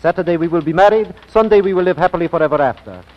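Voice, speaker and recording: An actor playing a naive, lovestruck, penniless suitor in an old radio comedy. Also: male voice, a little high, rapid-fire, lightly acted. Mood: hopeful, earnest. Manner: earnest, stiff simple phrasing. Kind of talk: 1940s radio comedy acting. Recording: noisy, dull old radio recording.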